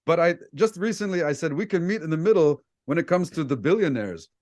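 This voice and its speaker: Male interviewer from Egypt, slightly high voice, casual and opinionated, given to anecdotes. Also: expressive, fairly quick, darkish tone. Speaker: host, debate coach interviewing a guest.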